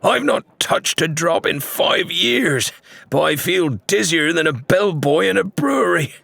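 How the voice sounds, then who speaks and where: A man acts out a dizzy shipwright in an audiobook tale. His voice is pushed high and rough-edged as he exclaims in folksy, staggering dismay. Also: animated, measured pace, sharp tone, dry recording, heavily acted.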